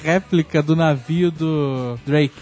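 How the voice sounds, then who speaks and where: A man's voice: on the high side, takes it slowly, a little mellow, faint music behind. A Brazilian man hosting a podcast, chatting casually and jokingly about travel in slangy, profane language. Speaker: podcast host.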